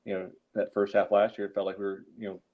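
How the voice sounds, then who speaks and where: A man with a fairly low voice talks through sports tactics on a podcast. He explains casually and conversationally. The audio is a little dull and lo-fi. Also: flat delivery, fast talker. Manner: explanatory, peppered with "you know". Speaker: soccer podcast panelist.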